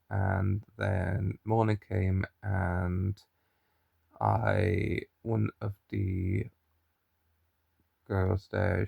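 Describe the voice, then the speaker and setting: A man's voice: low voice, creaky, darkish tone, slightly rough, no echo. A young man with a mild Irish accent, chatting and rambling to camera in a daily vlog, often restarting his sentences. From Ireland. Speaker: daily vlogger. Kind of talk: casual chat to camera.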